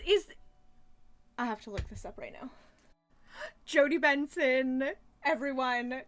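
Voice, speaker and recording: A woman giving casual, rambling commentary as a reviewer, gushing and emphatic in an animated way. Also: high-pitched. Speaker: reviewer reacting to a childhood cartoon.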